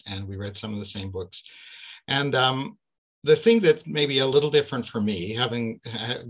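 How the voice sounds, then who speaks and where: An older man from Canada telling his faith story to a church gathering, earnest and measured, pausing often with "uh". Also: lowish voice, a bit bright, low-quality recording. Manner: measured, earnest, with frequent "uh" pauses.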